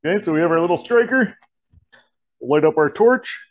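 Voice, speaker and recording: A man in his forties, a shop teacher, talking through a torch welding demonstration in a relaxed, folksy way in an indoor metal shop. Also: a little high, normal speed, lo-fi sound, slight background noise. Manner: relaxed, folksy, many "kinda" and "you know" fillers. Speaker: diesel technology teacher.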